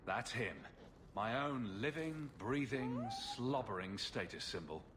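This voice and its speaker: An actor playing a cheeky, wisecracking adventurer in a fantasy video game, wry and sarcastic. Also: male voice, medium pitch, expressive, a little slow, noisy background, lightly acted. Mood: playful. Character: wisecracking adult male adventurer, sardonic and cheeky.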